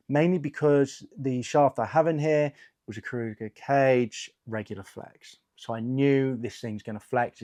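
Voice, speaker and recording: A man with a croaky, under-the-weather voice talks casually through a gear review. His pitch sits in the middle range, and he keeps it loose and chatty, filling gaps with "you know" and "um" as he reads out numbers. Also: darkish tone. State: croaky voice from a cold. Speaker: golf equipment reviewer, channel host. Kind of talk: casual product review talk.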